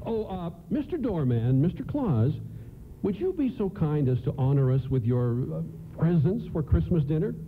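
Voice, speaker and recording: A man's voice: a little high, big pitch swings, normal speed. An actor playing a frazzled, grateful father and husband, earnest and hesitant, in a radio play. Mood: warm, gracious. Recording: narrow, noisy AM radio broadcast.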